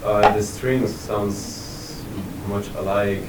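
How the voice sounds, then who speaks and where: A man from Chile, asking a question from the audience slowly and haltingly. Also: on the low side, fairly even intonation. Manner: slow, halting, searching for words. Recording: distant echoey room with noise.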